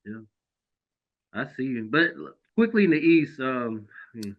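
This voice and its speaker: A man hosting a podcast, chatting casually about basketball in a lively way, laughing often and dropping into low mutters. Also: unhurried. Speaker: podcast host. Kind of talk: casual sports chat. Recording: dull lo-fi sound.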